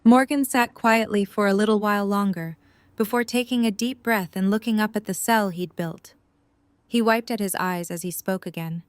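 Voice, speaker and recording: A woman's voice: mid-range voice, fairly even intonation, normal speed, smooth, dry recording. A woman reading scripted third-person narration for an audio drama.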